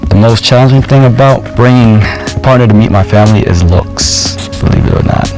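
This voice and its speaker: A man's voice: on the low side, a little fast, over music, low-quality recording. A Jamaican-born man in his thirties on a dating show, talking casually and joking around. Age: 30s. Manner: playful, jokey, puts on voices when telling stories. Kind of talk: interview and casual date chat.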